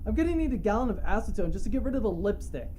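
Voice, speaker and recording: A woman's voice: mid-range voice, very animated, rapid-fire, dark tone, slight background noise, lightly acted. Woman playing a grumbling crew member. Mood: annoyed. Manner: complaining, exasperated. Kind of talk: comedic audio drama.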